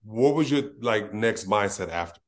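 This is a male podcast host from Los Angeles with a fairly low, deep voice. He talks loose and slangy in a relaxed, easygoing chat and laughs easily. His speech stays casual throughout. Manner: laid-back, slangy, quick to laugh.

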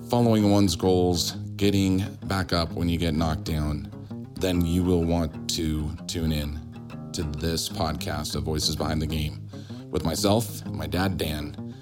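A middle-aged American man hosting a podcast, speaking in an earnest, halting conversational way. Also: low voice. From Utah, United States. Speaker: podcast host. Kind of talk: conversational podcast interview with scripted-sounding introduction.

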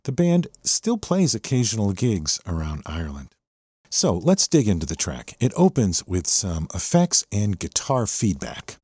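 A man with an American accent and a lowish voice presents a music podcast. His delivery is enthusiastic and very lively in pitch, like a fan guiding listeners through a favorite track. The sound is close and dry. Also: unhurried, husky, no room sound. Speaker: podcast host. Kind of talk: music appreciation podcast commentary.

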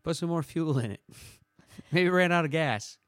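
A man's voice: on the high side, unhurried, dry recording, clean studio sound. A young man chatting casually on a podcast, rambling and laughing often. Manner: rambling, laughs often, does silly voices. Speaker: podcast co-host. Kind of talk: casual chat about motor racing.

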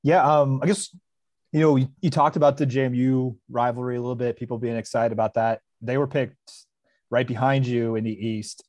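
A male podcast co-host speaks at a middle pitch in a loose, conversational way. He strings his questions along with "you know" and "um". His voice is recorded close and dry, with no room around it. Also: fairly even intonation, a little fast, darkish tone, no echo, highs cut off. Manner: easygoing, filler-heavy questions. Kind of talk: casual podcast chat.

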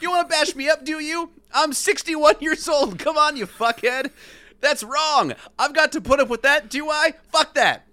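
An indignant golfer in his sixties, as a male storyteller puts him on, high and swooping. He rants, aggrieved and sweary, in a bright, forceful voice for a comic retelling. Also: big pitch swings, normal speed, no room sound, exaggerated voice. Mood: furious, indignant. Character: furious, indignant sixty-one-year-old male golfer. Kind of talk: dramatic comic quote reading.

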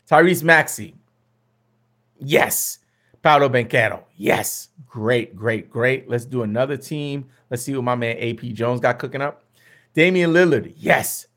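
A young man, slightly hoarse, chatting casually with viewers as he hosts a fantasy basketball mock draft, often repeating himself. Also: fairly high pitch, a bit bright.

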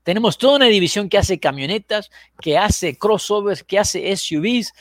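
A man hosting a casual show over a video call, animated, quick to cut in on his guests and often restarting his words. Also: high voice, no echo, studio quality. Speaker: host. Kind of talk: casual talk show chat.

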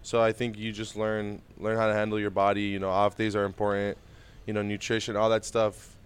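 Young male guest, native Spanish speaker, clear low voice, quick flat delivery. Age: young adult. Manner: relaxed, easygoing answers with many fillers. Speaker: interview guest, baseball prospect. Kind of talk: casual interview answers.

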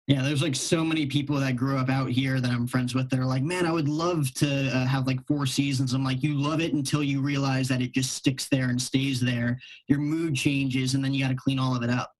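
A man's voice: mid-range voice, steady tone, fairly quick, poor audio. A young adult man hosting an interview in a casual, chatty style. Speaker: interviewer, podcast host. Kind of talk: casual chat.

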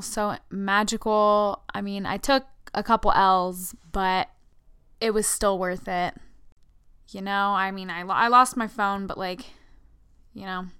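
Young woman hosting a podcast, kinda hoarse from losing her voice, super chatty, really smooth and dry sound. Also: medium pitch, dry recording. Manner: chatty and excited, fillers like "like" and "you know". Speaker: podcast host. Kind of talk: casual solo podcast monologue.

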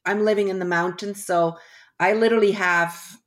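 A woman's voice: mid-range voice, fairly even intonation, moderate pace. A middle-aged woman from Switzerland, a guest in a casual interview over a video call, reflective and full of restarts. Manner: reflective, with frequent restarts and fillers.

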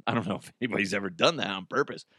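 A man hosting a comedy podcast, his voice raspy and hoarse. He rambles through the news, laughing at his own jokes. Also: lowish voice, lively intonation, racing, pushed and bright, no room sound, studio quality. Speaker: podcast host. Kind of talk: casual comedic commentary.

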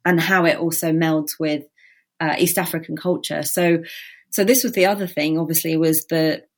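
A woman's voice: deep voice, steady tone, normal speed. A woman novelist, born in Britain with Gujarati as her first language, talking thoughtfully in an interview about her book, often restarting her sentences. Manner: thoughtful, with frequent restarts and "you know". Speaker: novelist guest. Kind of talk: conversational interview.

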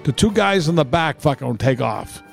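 A middle-aged man, telling stories casually on a podcast in a blunt, profane, animated way. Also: fairly high pitch, moderate pace, vocal fry, faint music behind. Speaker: podcast co-host. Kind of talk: casual comic storytelling among friends.

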